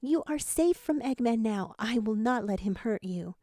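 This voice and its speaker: A woman playing a gentle, caring female ghost in a story, with a reassuring, soft-hearted manner. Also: a little high, expressive, moderate pace, no echo, clean studio sound.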